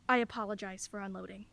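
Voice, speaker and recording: An actress playing a middle-aged single mother, soft and soothing, with self-deprecating humor. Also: medium pitch, vocal fry. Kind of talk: radio play acting.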